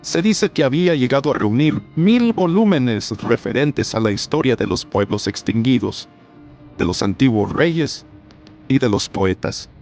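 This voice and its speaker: A man narrating a scripted story in a measured, formal storytelling manner. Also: mid-range voice, lively intonation, a little mellow, soft background music.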